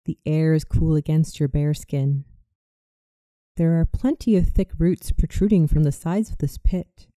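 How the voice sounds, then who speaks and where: A narrator guiding a scripted visualization meditation in a soothing, measured way. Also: mid-range voice, warm tone, dry recording. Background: music.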